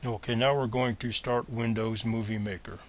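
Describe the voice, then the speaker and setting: A man's voice: mid-range voice, level tone, moderate pace. A man presenting a step-by-step screencast tutorial in a plain, methodical, instructional manner.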